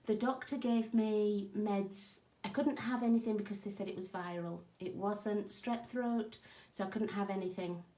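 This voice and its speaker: A woman with a croaky, hoarse voice from a cough, chatting casually with viewers by name as she hosts a craft live stream from her home craft room. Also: medium pitch, fairly even intonation, normal speed, mellow. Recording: echoey room.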